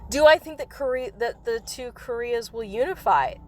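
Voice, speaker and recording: A woman hosting a game livestream in casual, rambling chat, her voice slightly raspy from coughing. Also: fairly high pitch, lively intonation, normal speed, a little mellow, a little hiss or hum. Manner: rambling, chatty, with frequent laughs. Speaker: livestream host. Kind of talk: casual chat while playing a mobile game.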